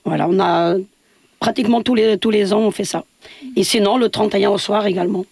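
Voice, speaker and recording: A woman's voice: medium pitch, fairly quick, a little mellow, dry recording. A woman from Portugal, a building caretaker speaking as a radio interview guest, hesitant with many fillers and restarts.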